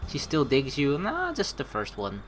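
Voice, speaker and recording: A man doing game commentary as a let's player, reading narration and lines aloud in an animated, rambling, informal way. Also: mid-range voice, moderate pace, a little hiss or hum.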